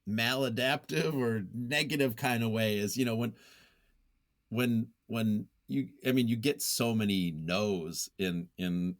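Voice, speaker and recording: Guy with a lowish voice being interviewed on a podcast, casual, kinda hesitant, thinks out loud, modest about himself. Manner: hesitant, self-effacing, thinking aloud. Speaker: podcast guest, nonfiction writer. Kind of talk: casual reflective interview talk.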